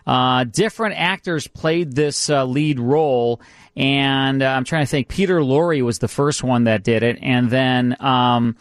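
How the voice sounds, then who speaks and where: A man's voice: medium pitch, fairly even intonation, a little slow, smooth, no echo, studio quality. A man with an American accent hosting a radio show in a conversational style. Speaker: radio host.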